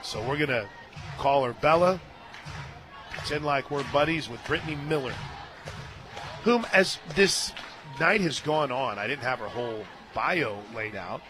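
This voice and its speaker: A man doing live radio play-by-play of softball, mixing excitable calls with chatty asides. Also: medium pitch, measured pace, pauses often, over music. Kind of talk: live softball commentary mixed with casual chat. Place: stadium radio booth.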